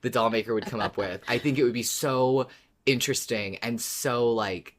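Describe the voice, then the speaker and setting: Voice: mid-range voice, normal speed. Giggly podcast co-host with a mid-range voice. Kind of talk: casual fan podcast discussion.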